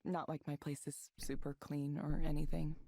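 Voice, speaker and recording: A woman's voice: sits low, little pitch movement, normal speed, a crowd in the background. A woman acting a polite, reserved adult protagonist in a visual novel game, in a conversational style. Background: music.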